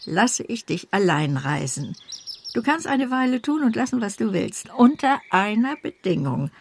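Radio drama role: strict, fond old aunt, creaky, formal, decisive. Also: female voice, a little high. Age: older.

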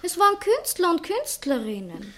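An actress playing a self-important young divorced poetess in a satirical stage comedy, speaking theatrically and earnestly. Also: female voice, very high-pitched, huge pitch swings, moderate pace, pushed and bright, heavily acted. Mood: offended. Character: self-dramatizing young divorced poetess defending herself to her fiancé. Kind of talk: stage comedy acting in a radio play manner.

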